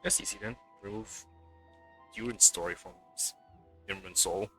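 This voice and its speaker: A man's voice: lowish voice, moderate pace, sharp tone, soft background music. A man streaming a game, giving casual let's-play commentary as he reads and reacts.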